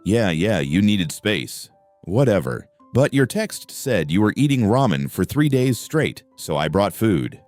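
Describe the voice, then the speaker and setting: Guy reading a cocky young athlete dude, blunt, pushy, low voice that moves around a lot. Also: big pitch swings, unhurried, a little mellow, no echo, soft background music. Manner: blunt, brushes off objections. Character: pushy, energetic young male college athlete.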